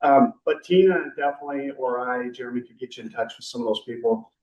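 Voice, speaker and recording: A man's voice: medium pitch, fast, a little mellow, dull audio. A man chairing a council meeting, chatty and rambling, with light joking. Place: public meeting room. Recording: echoey room from a distant mic.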